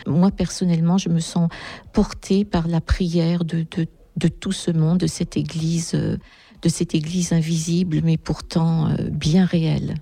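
A woman from a prayer group is answering interview questions. Her voice is low and gravelly and hardly moves up or down. She speaks in a measured, hesitant way, pausing often. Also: level tone, warm tone, no room sound.

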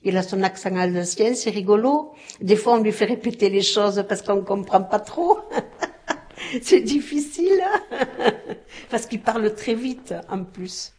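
A woman's voice: medium pitch, lively intonation, unhurried, darkish tone, husky. A woman chatting in a street interview, laughing easily.

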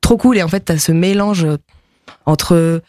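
Hesitant, high-voiced man in dry sound. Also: unhurried, a little mellow, dry recording. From France, near Nantes. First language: Japanese. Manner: hesitant, many fillers and restarts. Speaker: musician guest. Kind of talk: casual interview talk.

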